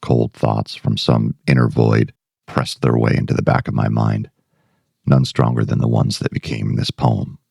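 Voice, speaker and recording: A haunted male writer, played by a man, speaks in an extremely deep voice full of heavy gravel. He delivers his dark monologue with slow, ominous deliberation, yearning for madness. The sound is dry and close. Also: level tone, dry recording, exaggerated voice. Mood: reflective, haunted.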